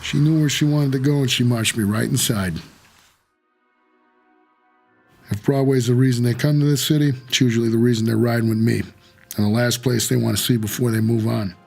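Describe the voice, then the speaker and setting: Noir radio-play lead: weary, plain-spoken taxi driver, gravel in the voice, faint musical backing. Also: male voice, medium pitch, fairly even intonation, fast talker, darkish tone, faint music behind. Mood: wry, weary. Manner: hard-boiled, laconic, plain-spoken. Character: world-weary, wry male cab driver for the dead. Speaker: narrator and lead character. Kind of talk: noir audio drama narration and dialogue.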